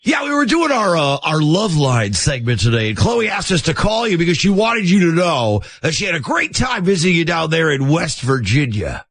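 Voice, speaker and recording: A man putting on an overexcited male rock-radio DJ making a prank call, with shouty, falsely cheerful patter and a husky voice. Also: fairly high pitch, big pitch swings, moderate pace, few pauses, no echo, voice pushed up, full character voice. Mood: chummy. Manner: hyped, shouty announcer patter.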